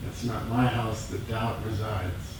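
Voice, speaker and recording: A man's voice: fairly low pitch, steady tone, measured pace. Male group participant, plainspoken and reflective, recorded far from the mic in a strongly reverberant room. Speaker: group member sharing in a dharma discussion. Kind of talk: reflective casual personal sharing. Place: meditation group meeting room. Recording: echoey room, distant mic, clear noise.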